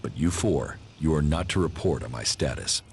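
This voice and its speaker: An actor playing a gruff, cold military commander in a video game, terse and dryly sardonic, with a gravelly voice. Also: male voice, rumbling low, lively intonation, moderate pace, a little mellow, slight background noise. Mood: guarded, commanding. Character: gruff, cold male alien-faction commander, curt and weary.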